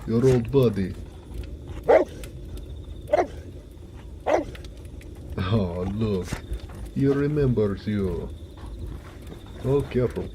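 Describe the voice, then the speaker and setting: Man playing a low, unhurried orc blacksmith. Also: noisy background. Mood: playful, fond. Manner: folksy, affectionate, easygoing. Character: big, warm-hearted orc blacksmith, affectionate and jovial. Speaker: audio roleplay voice actor. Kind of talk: intimate romantic audio roleplay, one-sided conversation.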